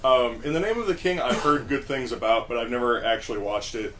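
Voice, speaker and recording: A man of medium pitch chats casually as a podcast co-host. He speaks in a halting, think-aloud way, and there is faint noise in the background. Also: a bit bright. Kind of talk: casual chat about games and films.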